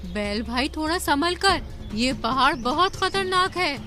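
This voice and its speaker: A woman's voice: high voice, animated, measured pace, sharp tone, faint music behind, full character voice. A woman voicing a small, curious, sensible female bird in an animal cartoon, questioning and concerned.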